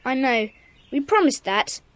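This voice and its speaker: A woman playing a brisk, sensible schoolboy club leader in a children's audio drama. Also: sits high, sing-song, fairly bright tone, heavily acted. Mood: assured.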